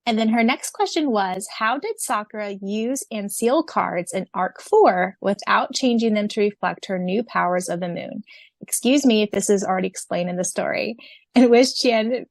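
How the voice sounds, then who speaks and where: Gravelly-voiced enthusiastic woman podcaster. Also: mid-range voice, normal speed, dry recording. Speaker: podcast co-host and audiobook narrator. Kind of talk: casual chat and reading answers aloud.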